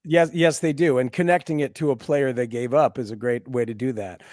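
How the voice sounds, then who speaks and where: A man's voice: mid-range voice, fairly even intonation, a little fast, darkish tone, no echo, clean studio sound. A middle-aged man hosting a conversational sports interview, encouraging, repeating phrases as he forms his thoughts. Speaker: sports radio host, interviewer.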